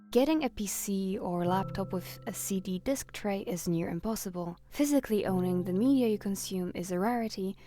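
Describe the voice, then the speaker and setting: A woman narrating a scripted video essay in an earnest, reflective way with measured phrasing. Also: mid-range voice.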